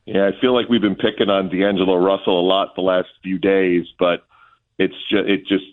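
Over a thin, phone-quality line comes a very low-pitched man. He is a sports announcer appearing as a radio guest, talking through his analysis conversationally, in a measured and discursive manner. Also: little pitch movement.

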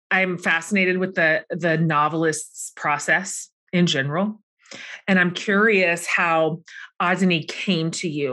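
A woman's voice: on the low side, a bit bright. A middle-aged woman hosting a book club interview, asking long, enthusiastic questions that linger over her words. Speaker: podcast host.

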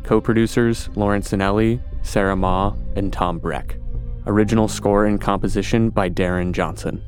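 A man's voice: lowish voice, fairly even intonation, normal speed, a little mellow. A man reading scripted podcast credits as an announcer. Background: music.

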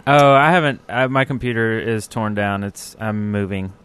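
A man's voice: on the low side, fairly even intonation, moderate pace, a bit bright, clean tone. A man chatting informally as a podcast co-host. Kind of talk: casual chat.

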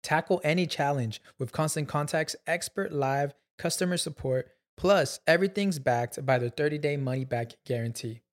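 A man giving a polished, upbeat scripted ad read as an announcer. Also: mid-range voice.

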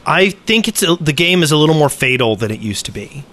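A man's voice: a little high, big pitch swings, fairly quick, fairly bright tone. A man co-hosting a podcast in loose, casual conversation. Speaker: podcast co-host. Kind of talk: casual chat.

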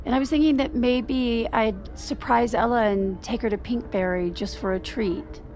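A woman's voice: a little high, fairly even intonation, moderate pace, a little mellow, slight background noise, close to an everyday voice. An actress playing a guarded, strained wife and mother in naturalistic audio drama, speaking conversationally with some hesitancy. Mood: tentative, hopeful.

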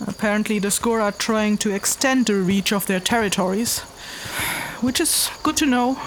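A woman's voice: medium pitch, moderate pace, slight background noise, natural voice, low-quality recording. A woman playing a weary, hesitant traveling investigator in a fantasy audio drama, speaking matter-of-factly. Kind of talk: fantasy audio drama, narrated log and dialogue.

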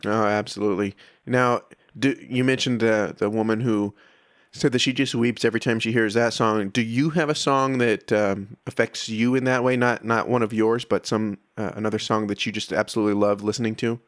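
A man hosts a casual interview in a conversational register. He feels his way through questions, halting and rewording them as he goes. The sound is dry, with little room around the voice. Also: fairly low pitch, steady tone, no room sound.

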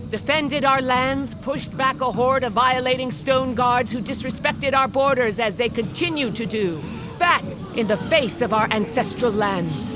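Loud music surrounds a proud, aging warrior queen played by a woman. She speaks in slow, solemn oratory, fierce and commanding, as in an epic audio drama. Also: a little high, lightly acted. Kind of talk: dramatic audio fiction acting.

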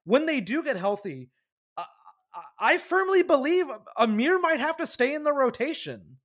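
Young male podcast co-host, high voice, casual and lively, laughs easily. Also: moderate pace. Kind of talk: casual sports podcast chat.

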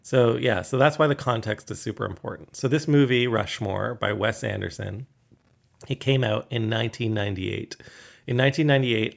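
A man in his forties chats on his podcast in near-whispered tones, his lowish voice crackling with steady vocal fry. There is a slight nasal edge to his speech, and a condenser mic catches the close, soft detail. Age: 40s. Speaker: podcast co-host. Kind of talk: casual podcast chat. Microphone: USB condenser mic.

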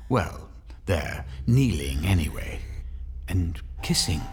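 An actor playing a wry, sardonic storyteller narrating an audio horror drama. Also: male voice, low-pitched, sing-song, takes it slowly, exaggerated voice.

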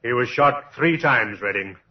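An actor playing a businesslike, methodical police inspector in an old-time radio crime drama. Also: male voice, mid-range voice, expressive, unhurried, natural voice. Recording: muffled, faintly noisy old radio recording.

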